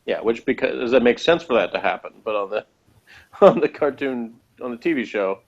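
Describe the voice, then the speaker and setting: A man's voice: medium pitch, expressive, moderate pace, muffled recording. A man chatting informally as he co-hosts a TV review, talking in a hesitant, rambling way. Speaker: co-host of a TV review show. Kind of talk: casual review chat.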